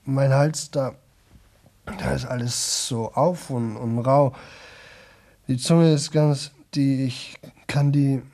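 An actor playing a young man in a radio play, exhausted and desperate, with a sore, rough throat, talking on in halting, repeated fragments. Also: mid-range voice, steady tone, mellow. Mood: desperate, exasperated. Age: young adult. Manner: halting, repetitive, broken fragments. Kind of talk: 1970s German radio play monologue.

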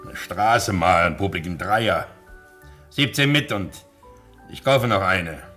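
A man's voice: deep voice, lively intonation, unhurried, sharp tone, faint music behind, heavily acted. An actor playing an anxious, blustering American gangster boss in a 1960s German radio play, stammering and grumbling as he repeats himself. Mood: irritated.

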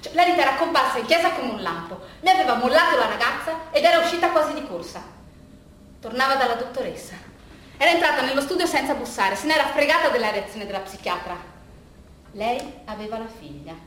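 A woman performing a theatrical monologue, telling a story dramatically with halting repetitions. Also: high voice, lively intonation, fairly bright tone. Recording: very echoey room with faint noise.

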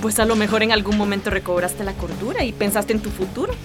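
An actress with a Costa Rican accent playing a haughty, sarcastic former lover in a radio drama, speaking with condescension. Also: female voice, medium pitch, fast talker, over music, close to an everyday voice. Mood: scornful.

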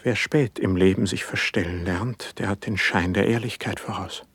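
An actor playing a sensitive, driven, melancholy young poet in a classical verse radio drama, with fervent, declaimed diction and a husky voice. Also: male voice, low-pitched, moderate pace, fairly bright tone, no echo. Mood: bitter, agitated.

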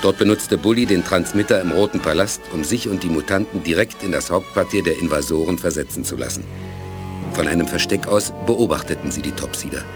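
A man's voice: low voice, brisk, music in the background. A man narrating a science fiction radio play as a sober storyteller. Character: sober male storyteller of a space adventure.

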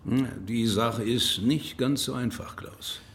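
Radio drama: insistent Belgian government envoy, medium pitch, formal, pointed, deliberate. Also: male voice, a little hiss or hum. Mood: irritated. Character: grave, insistent male Belgian government official. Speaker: actor in a radio crime drama. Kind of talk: naturalistic radio drama acting.

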